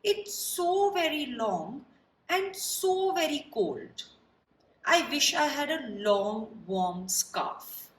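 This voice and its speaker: A woman as a high-voiced, plaintive giraffe. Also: very animated, extremely slow, exaggerated voice. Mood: sad, plaintive. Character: sad, polite long-necked storybook giraffe. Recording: roomy, distant mic, faint background noise.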